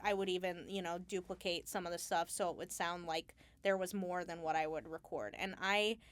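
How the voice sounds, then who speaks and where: A woman speaking casually as an interview guest, explaining her craft in a halting, thinking-aloud way. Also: on the low side, steady tone, clean tone. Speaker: Foley artist, interview guest.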